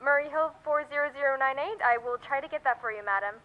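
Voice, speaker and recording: A woman's voice: high-pitched, fast talker, natural voice. A woman playing a formal, routinely polite switchboard operator in a suspense radio play. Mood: polite, unhelpful. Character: polite, formulaic female telephone switchboard operator. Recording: dull lo-fi sound, clear noise, clicks.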